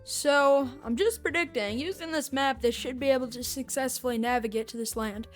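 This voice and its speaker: A woman with a somewhat high voice gives casual review commentary as a podcast host. She speaks tentatively, with faint music behind her. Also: normal speed.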